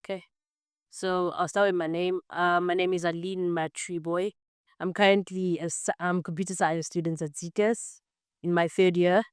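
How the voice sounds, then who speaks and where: A woman's voice: lowish voice, level tone, moderate pace, smooth, no room sound, studio quality. Earnest young woman, podcast guest. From Zambia. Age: 20s. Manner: earnest, explanatory, frequent "um" and "basically". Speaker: podcast guest, computer science student. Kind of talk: casual interview answers.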